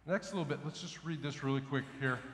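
A man's voice: medium pitch, quick pace, a bit bright, a little hiss or hum. A middle-aged man, preaching a sermon in a conversational way to his congregation in a large church sanctuary, asking them questions as he walks about. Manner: conversational preaching, asks the congregation questions, gestures with raised hands. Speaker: pastor. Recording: echoey church hall, faint ambience.

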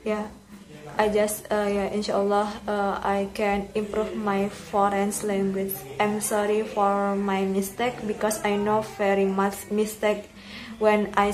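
A woman's voice: mid-range voice, rough recording. A young woman with an Indonesian accent practising spoken English on her vlog, hesitant and full of fillers and self-corrections, talking to a camera in a small room. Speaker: vlogger. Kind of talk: casual English speaking practice. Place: indoors, small room with bookshelf.

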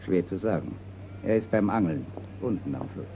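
A deferential household servant in a radio mystery. His voice is extremely deep and creaky, his manner formal and reserved. The recording is slightly muffled. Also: lively intonation, normal speed, warm tone. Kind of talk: German radio crime drama acting. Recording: dull, noisy radio play recording.

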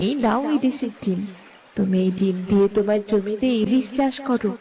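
A woman's voice: medium pitch, normal speed, dark tone, lightly acted. A woman voicing a kind, magical fairy in a cartoon folk tale, speaking in a benevolent, reassuring manner. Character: kind, magical fairy who comforts the poor.

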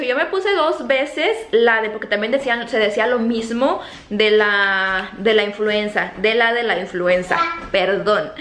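A woman in her thirties from Mexico, with a softened Mexican accent, talking casually to a close camera in her home kitchen, full of fillers and repeated words. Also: a little high. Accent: Mexican Spanish, softened toward neutral. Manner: chatty, animated hand gestures, many fillers and repeated words. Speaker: vlogger. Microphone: camera close to face. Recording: echoey kitchen, faint room hum.

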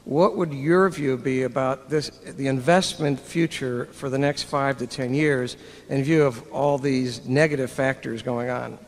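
A man's voice: mid-range voice, steady tone, measured pace. A man in the audience asks a long question, hesitant and full of fillers. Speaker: audience member asking a question. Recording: reverberant large hall.

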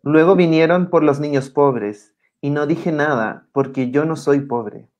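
A man reading lines of a poem in a steady, measured way on a video call. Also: fairly high pitch, muffled recording.

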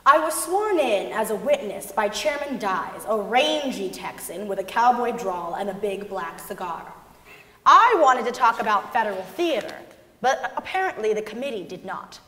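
A woman's voice: medium pitch, lively intonation, moderate pace, a bit bright. A young woman giving an emphatic dramatic reading of a first-person account on stage. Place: large concert hall stage, seated at music stand, before an audience. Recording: echoey concert hall.

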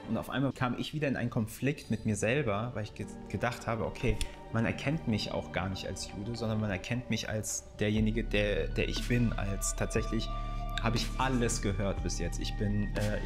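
A man giving colloquial, reflective personal testimony, restating phrases as he thinks aloud. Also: fairly low pitch. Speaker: interviewee, actor.

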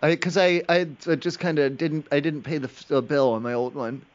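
A man improvises a prank phone call in a higher-than-usual voice. He stammers, rambles and keeps pestering the other person. The sound is a little muffled. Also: steady tone, fairly quick. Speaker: prank caller.

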